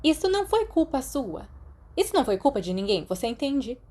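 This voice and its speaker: A brusque older schoolmistress performed by a woman narrator with a Brazilian accent. Her voice sits high and soft-edged, firm and urgent yet protective of her pupils, as in a storybook reading. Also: sing-song, brisk. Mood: stern, urgent.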